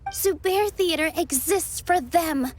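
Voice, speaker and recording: A woman voicing an earnest young female dancer who speaks sincerely and gently in a video game. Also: very high voice, slow pace, soft background music. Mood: heartfelt.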